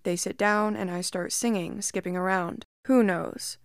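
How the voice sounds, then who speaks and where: Female narrator, mid-pitch, smooth and clear, calm creepy-story reading, dry close mic. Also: measured pace, dry recording.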